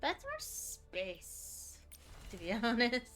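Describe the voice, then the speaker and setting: A woman's voice: on the high side, sing-song, slow pace, a bit bright, faint music behind. A woman livestreaming a game, chatting casually and thinking aloud as she reacts to what is on screen. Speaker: game streamer.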